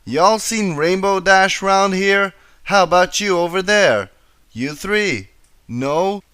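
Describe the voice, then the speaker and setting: A man's voice: high-pitched, slow pace, pushed and bright, clear, resonant tone, no echo, voice pushed up, heavily acted. A man voicing a hot-tempered young female farm pony with a brusque, folksy in a storybook reading. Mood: frustrated.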